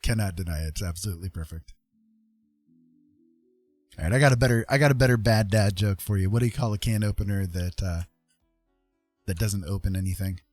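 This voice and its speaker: A man's voice: fairly low pitch, a little fast, long pauses, no echo. A man hosting a casual live stream, telling jokes and chatting playfully with viewers. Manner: playful, jokey, conversational. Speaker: live stream host and digital artist. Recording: music behind the voice. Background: background music.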